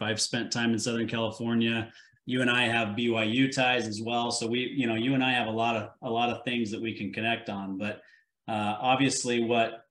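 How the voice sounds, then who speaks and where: A man's voice: medium pitch, fairly bright tone. A man in his forties hosting a business podcast, talking in an easygoing way with frequent restarts. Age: 40s. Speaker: podcast host. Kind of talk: casual interview conversation. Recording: echoey room sound.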